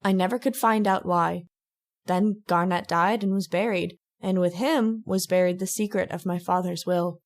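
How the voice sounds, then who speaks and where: A woman playing a fearful, haunted lady in an old-time radio drama, earnest and halting, pleading as she speaks. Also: on the low side, fairly even intonation, close to an everyday voice. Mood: sorrowful confiding.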